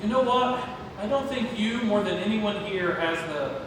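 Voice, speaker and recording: Man as an earnest, high-voiced busy father. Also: lively intonation, moderate pace. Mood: frustrated. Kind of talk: amateur stage play table read. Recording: distant echoey hall, noisy.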